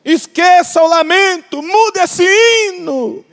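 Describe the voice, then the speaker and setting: A man's voice: extremely high pitch, a bit bright. A man preaching a sermon with a Brazilian Portuguese accent, impassioned, stretching out key phrases and joking with the congregation. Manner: impassioned, drawn-out emphatic phrases, jokes with the congregation. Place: large church hall. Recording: long church hall reverb.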